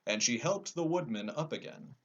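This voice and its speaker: A man's voice: mid-range voice, animated, normal speed, lo-fi sound. A man narrating a children's storybook as a storyteller.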